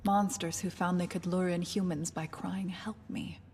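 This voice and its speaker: A woman playing a stern, detached elf mage who speaks deliberately and severely in an English anime dub. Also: lowish voice, fairly even intonation, a little mellow, slightly rough. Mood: grave, detached. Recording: music behind the voice.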